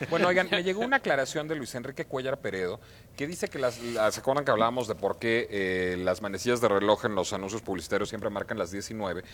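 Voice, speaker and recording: A man's voice: on the low side, fast, a bit bright, slight background noise. A man with a Mexico City accent hosting a live show with casual, joking announcements and banter. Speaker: show host.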